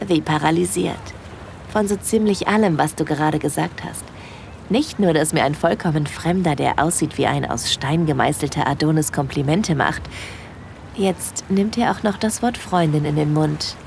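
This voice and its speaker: A woman playing a shy yet bold young cruise guest in an intimate audio drama, speaking softly and hesitantly. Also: sits low, normal speed, gravelly, slight background noise. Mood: intimate musing.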